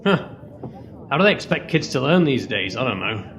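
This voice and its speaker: Radio play: nagging Scottish father, a butcher, played by an amateur actor. Animated pitch, lecturing, faint background noise. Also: moderate pace, lo-fi sound. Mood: grumbling, lecturing. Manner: grumbling, lecturing. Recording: faintly noisy remote home recording.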